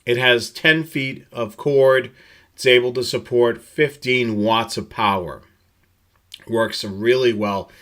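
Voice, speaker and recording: A man gives an explanatory product presentation in a bright, forceful voice of medium pitch. He walks through details in a steady, instructional manner, halting now and then as he goes. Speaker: presenter of a live product tutorial. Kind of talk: semi-scripted slide presentation, explanatory product rundown.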